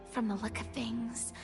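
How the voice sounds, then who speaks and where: A woman playing an earnest, questioning young female adventurer in a video game, with expressive delivery. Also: on the high side, slow pace, vocal fry. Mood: hushed suspicion. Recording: long reverb, music behind.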